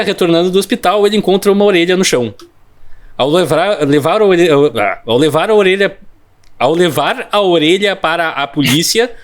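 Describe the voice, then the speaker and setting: A man with a slightly raised voice co-hosts a relaxed movie podcast. He reads through a story summary, tripping over words now and then and tossing in wry comments. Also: sits high. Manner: reads a plot summary with joking asides and stumbles. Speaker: podcast co-host. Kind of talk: casual film discussion.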